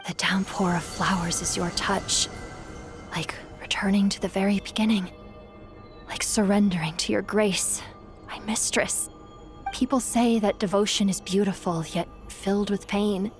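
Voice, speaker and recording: An ancient djinn woman, played by an actress, regal and wistful in a video game. Her mid-pitched voice moves through formal, poetic phrases with theatrical devotion, set over clear background music. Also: fairly even intonation, measured pace, close to an everyday voice. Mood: serene devotion.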